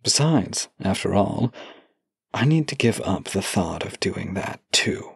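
A man acting a devoted romantic partner in an intimate audio drama, with a gravelly, husky voice, speaking heartfelt words close to the mic. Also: deep voice, expressive, measured pace, dry recording, full character voice. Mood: ardent, earnest. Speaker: voice actor reading love letters. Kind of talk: intimate audio drama, slow heartfelt letter reading and banter.

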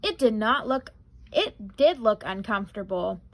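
A young adult woman reading a children's picture book aloud in an expressive, careful way. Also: mid-range voice, unhurried. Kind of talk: children's storybook read-aloud.

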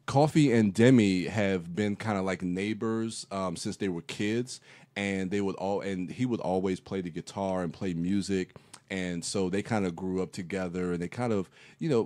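Low-voiced man rambling through a film review. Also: mellow, no echo. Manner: rambling, lots of fillers and restarts. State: getting over a cold, slight cough. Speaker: podcast co-host. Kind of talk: casual film review chat.

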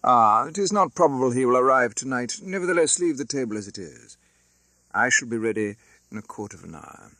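Guy doing a snooty cruel nobleman for an audiobook, disdainful, cold manners, sharp voice, very swoopy pitch. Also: very animated, fairly quick, no echo. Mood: imperious. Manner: imperious, coldly polite, disdainful. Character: haughty, cruel aristocratic uncle, a French marquis. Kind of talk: audiobook character acting.

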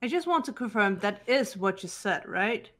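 A woman voicing a cool, composed teenage girl detective in a game, measured and challenging. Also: mid-range voice, expressive, a bit bright, natural voice. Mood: cool, probing. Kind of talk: character voice acting in a game fan dub.

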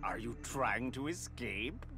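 A man's voice: mid-range voice, animated, takes it slowly. An actor playing a boastful, touchy evil henchman in a video game, sneering and theatrically mock-polite. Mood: indignant. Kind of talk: video game cutscene acting. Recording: loud game music behind.

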